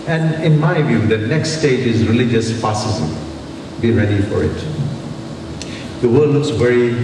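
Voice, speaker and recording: Echoey hall, man lecturing from afar. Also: on the low side. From Tanzania, of Indian origin. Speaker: university lecturer, conference speaker. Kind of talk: public conference speech. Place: large conference hall. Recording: very echoey hall, distant mic, clear noise.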